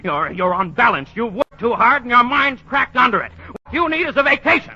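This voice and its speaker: A man's voice: very high voice, fairly quick, breathy edge, exaggerated voice. A blustery old grandfather. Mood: scolding. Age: older. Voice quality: blustery, excitable. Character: stubborn older male department store owner, a grandfather. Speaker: actor. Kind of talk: 1940s radio acting. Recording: dull old radio broadcast.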